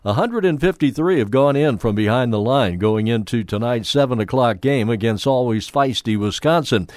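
A man's voice: medium pitch, lively intonation, normal speed, a little mellow, dry recording. Sixties male sports radio reporter with a conversational read and a dry, close sound. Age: 60s. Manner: conversational, with small hesitations.

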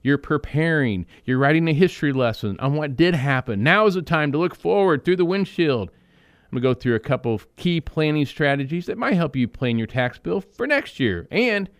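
A man hosting a financial advice radio show, explaining in a conversational way with quick asides and restarts. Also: a little high, lively intonation, no echo, clean studio sound.

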